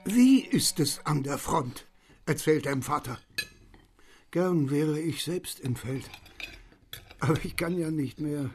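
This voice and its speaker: An actor playing an eager old father in a radio play, questioning in a drawn-out, insistent way. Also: on the high side, lively intonation, darkish tone. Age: older. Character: eager old father, hungry for war stories. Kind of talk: radio drama acting.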